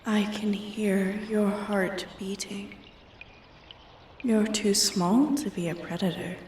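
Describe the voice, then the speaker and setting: A woman's voice: medium pitch, slow, a little mellow, a little hiss or hum, natural voice. A woman playing an ancient, arrogant female dragon in a roleplay ASMR, haughty and taunting, often sinking toward a whisper. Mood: quiet menace. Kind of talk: roleplay ASMR, scripted dramatic monologue. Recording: echoey, distant from the mic.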